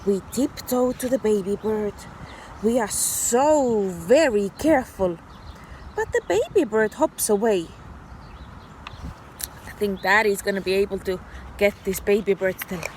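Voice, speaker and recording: A woman teacher reading a story aloud to young children in an animated, deliberate, child-directed way. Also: mid-range voice, slight background noise, rough recording. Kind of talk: expressive children's read-aloud.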